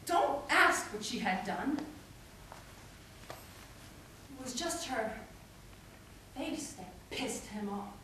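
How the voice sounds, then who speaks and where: A young woman delivers an intense theatrical monologue in a high, bright voice. Her phrases are deliberate and broken by pauses. She is heard from a distance in a reverberant hall. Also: expressive. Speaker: stage performer. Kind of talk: dramatic monologue recited on stage. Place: indoor stage performance in a theatre or hall. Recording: echoey hall, distant mic, faint noise.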